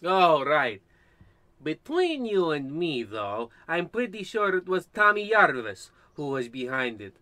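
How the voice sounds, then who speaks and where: A man's voice: fairly high pitch, big pitch swings, measured pace, pushed and bright, no room sound, heavily acted. A man playing a muddled, theatrical old woman, a rambling former teacher, in a parody of old radio drama. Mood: muddled, apologetic.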